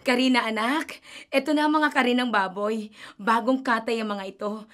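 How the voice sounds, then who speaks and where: Actress as a desperate middle-aged mother, high voice, tremulous, whispery, anxious, radio drama. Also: fairly even intonation, normal speed, a bit bright, soft background music, close to an everyday voice. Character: desperate middle-aged mother hiding her undead daughter.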